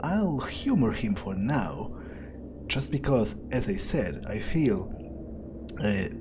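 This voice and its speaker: A man playing an arrogant, power-hungry vampire in a video game roleplay, musing aloud in a deliberate, improvised monologue. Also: fairly low pitch, sing-song, background noise. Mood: arrogant, threatening. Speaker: gameplay video creator role-playing in character. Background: music.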